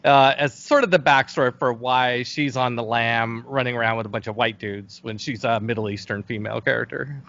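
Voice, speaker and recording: Male guest, average pitch. Conversational, feels his way through answers. Dull, low-fidelity recording. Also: steady tone, gravelly, fairly bright tone. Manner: hesitant, halting, thinking aloud. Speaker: interview guest, game world creator. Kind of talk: casual interview conversation. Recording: dull, lo-fi call audio.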